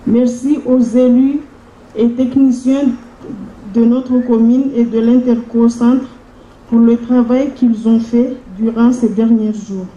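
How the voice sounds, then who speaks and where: A woman giving a formal welcome speech as mayor at a conference, in solemn, measured phrases. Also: on the high side, steady tone, dark tone. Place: large room at a public conference. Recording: dull, echoey conference hall PA sound.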